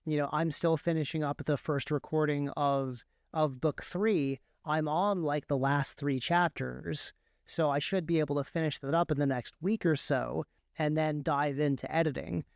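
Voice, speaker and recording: Male interview guest, smooth tone, very dry recording, conversational delivery that often restarts mid-thought. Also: on the high side, fairly even intonation, normal speed, no room sound. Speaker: guest, author and audiobook narrator. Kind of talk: casual explanatory interview chat.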